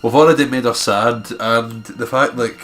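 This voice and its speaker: A man's voice: medium pitch, fairly even intonation, moderate pace, fairly bright tone. A man co-hosting a let's play, chatting casually and giving his opinions on story choices over game music. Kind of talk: casual chat and commentary on a game. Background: game background music.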